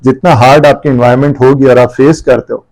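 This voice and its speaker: A man with a Pakistani accent answers interview questions in a measured, unhurried way, mixing English with Urdu. His voice is gravelly and dark. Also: mid-range voice, fairly even intonation, rough recording. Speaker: guest barrister.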